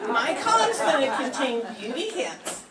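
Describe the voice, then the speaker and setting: An older woman reading the part of a naive, scatterbrained young woman in a radio sitcom, slow and literal-minded, recorded from far off in a roomy classroom. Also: sits high, sing-song, over a noisy crowd, heavy background noise. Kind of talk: 1940s radio sitcom acting.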